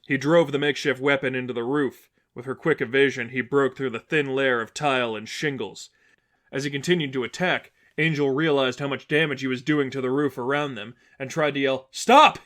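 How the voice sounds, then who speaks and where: Male narrator for dramatic fan fiction, medium pitch, scripted story delivery. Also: fairly even intonation, brisk, a bit bright.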